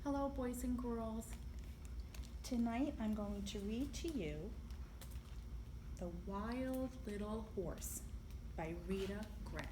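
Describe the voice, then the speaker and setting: A woman reading a picture book aloud to young children in an expressive, deliberate storytelling manner, with emphasis on the sound words. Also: a little high. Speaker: library storyteller. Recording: echoey room, clear background noise.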